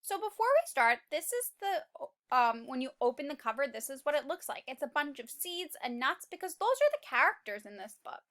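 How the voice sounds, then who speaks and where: A woman's voice: high-pitched, big pitch swings, moderate pace, pushed and bright. A woman reading a picture book aloud to young children as a teacher, expressively, asking questions and explaining simply.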